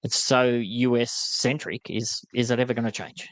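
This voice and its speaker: A man's voice: mid-range voice, steady tone, moderate pace, no room sound, low-quality recording. An Australian man co-hosting a podcast, grumbling and questioning in casual slang. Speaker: podcast co-host. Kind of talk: casual chat.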